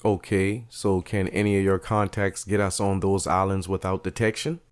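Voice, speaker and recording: A man's voice: sits low, level tone, normal speed, smooth, dry recording, natural voice. A man voicing a determined young adult man who speaks in a direct, questioning way. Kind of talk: audio drama storytelling.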